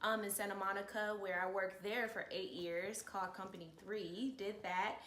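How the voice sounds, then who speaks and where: A woman in her early thirties chats casually as an interview guest. Her voice has a medium pitch. It is recorded in an echoey room with faint background noise. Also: fairly even intonation, normal speed, a bit bright. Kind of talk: casual personal chat.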